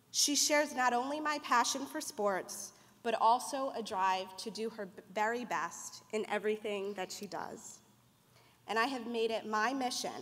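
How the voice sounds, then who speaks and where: A woman's voice: medium pitch, a bit bright. A young woman from the United States giving an earnest, prepared speech as a mentor presenting an award. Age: young adult. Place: banquet hall at an awards dinner. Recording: echoey banquet hall.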